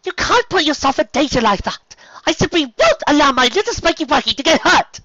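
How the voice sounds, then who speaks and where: A man's voice: very high-pitched, expressive, normal speed, fairly bright tone, breathy edge, dry recording, muffled recording, voice pushed up, heavily acted. A man voicing a prissy, overprotective female unicorn in a storybook reading, melodramatic and easily distressed. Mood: aghast. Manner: melodramatic, stammering when upset.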